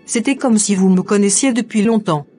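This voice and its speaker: A woman acting a devout young woman in a cartoon drama, earnest and trusting. Music plays under her scripted dialogue, and her pitch sits at an ordinary height for a woman. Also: brisk, mellow, lightly acted. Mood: grateful.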